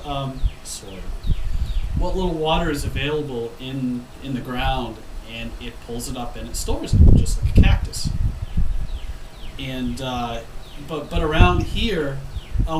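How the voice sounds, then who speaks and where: Casual guy, thirties, stuffed up with a cold, rambly, filmed from a few feet away outdoors with wind blowing. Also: fairly high pitch. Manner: rambling, self-correcting, frequent ums. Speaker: gardening vlogger. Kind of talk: casual talk to camera. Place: outdoor patio, windy. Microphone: camera mic from a few feet away. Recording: roomy, distant camera audio with wind noise.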